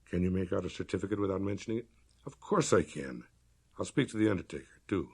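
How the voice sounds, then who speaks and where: Man reading as terse, low-voiced country doctor. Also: lively intonation, fast talker, gravelly, a little mellow, husky, heavily acted. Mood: discreet. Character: stern male country doctor, harsh, later gently discreet.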